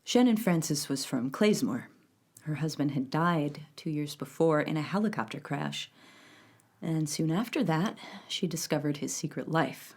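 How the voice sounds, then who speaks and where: Low-voiced woman narrating backstory. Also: darkish tone. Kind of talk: scripted audio drama narration.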